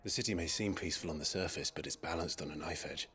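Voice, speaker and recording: Game outlaw hero, deep register, watchful and terse, brooding naturalistic delivery. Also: male voice, fast talker, a bit bright. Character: grim, watchful outlaw swordsman, brooding and purposeful. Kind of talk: naturalistic video game cutscene acting.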